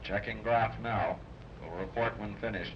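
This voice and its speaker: A man acting a gruff, terse radio operator in a 1930s adventure serial. He speaks low, in clipped official phrases. The old recording is dull and clearly noisy. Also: steady tone, unhurried, close to an everyday voice. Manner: clipped, formal radio procedure.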